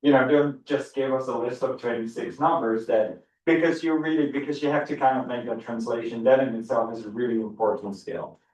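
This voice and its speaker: A man's voice: mid-range voice, a little fast, few pauses, darkish tone. Middle-aged dutch guy teaching a seminar, keeps restarting his words, heard from way back in an echoey room. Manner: enthusiastic, repeats words and restarts often. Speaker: biology professor giving a seminar. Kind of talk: university seminar lecture. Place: lecture room. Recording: echoey room, far from the mic.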